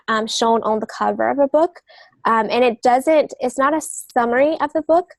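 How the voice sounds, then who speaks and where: Higher-voiced woman explaining on a podcast. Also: dry recording. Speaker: podcast co-host, literary agent. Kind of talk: explanatory conversational podcast talk.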